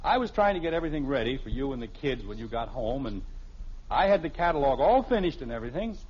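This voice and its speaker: Fussy, animated 1950s radio dad. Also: male voice, medium pitch, fast, slightly rough. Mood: sheepish, flustered. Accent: American. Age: middle-aged. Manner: pompous, defensive, insistent. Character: stubborn, fussy middle-aged family father, proud of his systems. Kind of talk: 1950s radio sitcom acting. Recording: noisy, muffled early 1950s radio recording.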